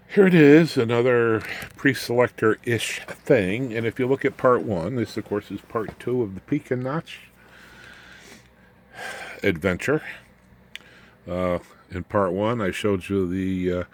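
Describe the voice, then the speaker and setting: An older man giving a casual, unscripted walkthrough as a hobbyist presenter, in a folksy, halting way with wry asides. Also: fairly low pitch.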